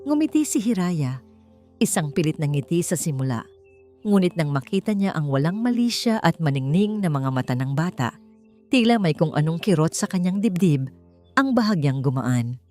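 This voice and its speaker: A Filipino woman narrates an audiobook story in a fairly low voice. She reads from a script, with music playing softly behind her. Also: very animated, normal speed. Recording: music bed under the voice. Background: background music.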